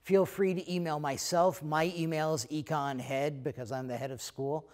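A middle-aged American man, head of an economics school, talking casually to prospective students in a relaxed way, with many fillers. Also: a little high, moderate pace. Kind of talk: recorded talk to prospective students. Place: lecture room, then plain backdrop indoors.

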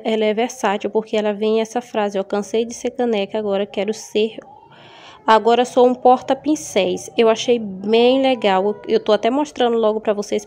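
A Brazilian woman vlogging casually from her home kitchen, a little hoarse from a cold, chatty and often checking in with her viewers. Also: on the high side, warm tone, a little hiss or hum. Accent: Brazilian Portuguese. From Maranhão, Brazil. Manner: chatty, frequent tag questions to viewers. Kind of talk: casual haul vlog. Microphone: phone camera held over table.

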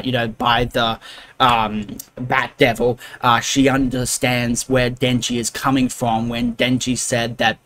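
A man talks through an anime episode in a loose, unscripted recap, stopping often on fillers and restarting sentences. His pitch sits in the middle and barely moves, so the delivery stays flat and even throughout. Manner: rambling, many fillers and restarts. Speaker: anime reviewer.

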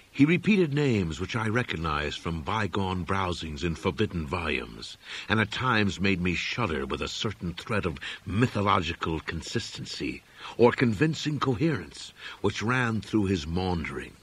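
A man narrating a horror audiobook dramatically, with grave, measured, literary phrasing. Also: on the low side, a bit bright, breathy edge.

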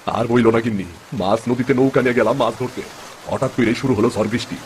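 A man's voice: medium pitch, steady tone, quick pace, slight background noise, lightly acted. A man playing a poor, good-natured village fisherman and father in a cartoon, speaking plaintively with a rural eastern Bengali accent. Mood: weary, plaintive. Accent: rural eastern Bengali (Bangladeshi). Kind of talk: dramatic cartoon story dub.

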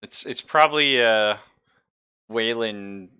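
Male game master, low-pitched with a bright, forceful edge, informal and sweary storytelling. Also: slow pace, clear, resonant tone. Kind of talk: casual narration of a tabletop roleplaying game. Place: online game session, streamed.

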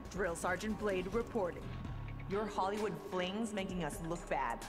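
A woman's voice: lowish voice, normal speed, lightly acted. A woman playing a brash, combative military officer in a fighting game. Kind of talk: fighting game character dialogue. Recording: game music behind.

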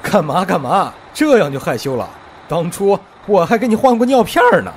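Man acting a cheeky, high-pitched teasing boyfriend. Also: animated, normal speed, a little hiss or hum. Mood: playful teasing. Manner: playful, teasing. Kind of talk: amateur audio drama acting.

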